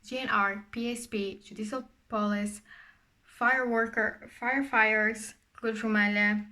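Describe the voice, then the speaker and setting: A woman's voice: a little high, fairly even intonation, unhurried, bright, forceful tone. A young woman from Portugal with a Portuguese accent, English not her first language, casually recounting a true crime case with frequent fillers. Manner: conversational, frequent fillers and restarts.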